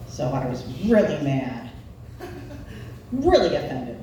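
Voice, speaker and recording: Recorded from a distance in a very echoey venue, a woman in her forties delivers a stand-up routine with a Nebraska Midwestern accent. She takes her time, with wry, self-deprecating storytelling and pitch that moves around freely. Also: sing-song, slow pace, warm tone. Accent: Nebraska Midwestern American. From Nebraska, United States. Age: 40s. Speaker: stand-up comedian. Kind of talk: live stand-up comedy routine. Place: live comedy venue. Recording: echoey room, distant mic, background noise.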